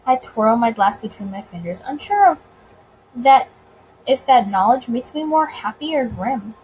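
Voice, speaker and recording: A woman reading a visual novel aloud in an expressive narrating style, occasionally tripping over words. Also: on the high side, moderate pace, slight background noise, low-quality recording.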